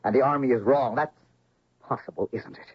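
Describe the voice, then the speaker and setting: Actor as husky, insistent young doctor son. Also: male voice, mid-range voice, brisk, dry recording, lightly acted. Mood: urgent disbelief. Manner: urgent, emphatic, questioning. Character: earnest, insistent male doctor, grown son. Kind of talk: radio drama acting. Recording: dull, muffled old radio recording.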